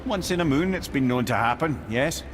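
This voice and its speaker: An actor with a Scottish accent playing a wry, learned old advisor, telling tales theatrically in a video game. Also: male voice, medium pitch, expressive, a little fast, music in the background, close to an everyday voice. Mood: sage, gentle. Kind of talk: theatrical storytelling in game dialogue.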